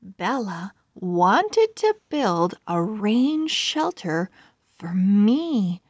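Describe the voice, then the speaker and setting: Slow, lively woman reading a children's story. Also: medium pitch, husky, dry recording. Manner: deliberate, carefully articulated. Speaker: children's book read-aloud host. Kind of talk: expressive children's storybook narration.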